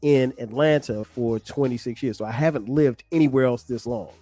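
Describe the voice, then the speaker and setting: A man's voice: medium pitch, normal speed, dark tone, soft background music. A middle-aged man from South Carolina hosting his own podcast in a loose, chatty way, full of ums and casual slang. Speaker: podcast host. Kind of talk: casual solo podcast talk.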